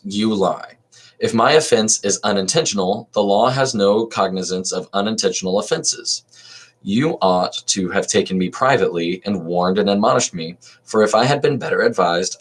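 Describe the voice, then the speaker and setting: A man reading aloud as an old male philosopher defending himself at trial, in an even reading voice with small restarts. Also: on the low side, natural voice. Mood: defiant.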